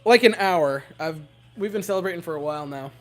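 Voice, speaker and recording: A young man with a hoarse, worn-out voice, rambling giddily to his livestream chat from home. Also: fairly high pitch, fairly quick, a bit bright, faint music behind. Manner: giddy, rambling, talking to chat. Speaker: streamer.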